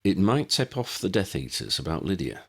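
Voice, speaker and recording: A man's voice: low-pitched, very animated, moderate pace, vocal fry, fairly bright tone, husky, pitched above the performer's own voice, heavily acted. A man voicing a serious, cautious young male wizard leader, firm and decisive, in an audiobook.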